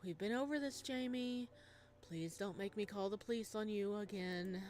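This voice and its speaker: A woman's voice: medium pitch, normal speed, darkish tone, natural voice. A woman voicing a weary male bartender in a visual novel, patient but firm, with a resigned manner. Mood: weary warning.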